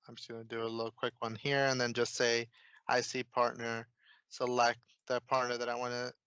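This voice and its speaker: Male software consultant, informal explainer, steady even intonation, dry close sound. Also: mid-range voice, a little fast, clean tone, no echo, lo-fi sound. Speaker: software consultant, demo presenter. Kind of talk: casual screen-share software walkthrough.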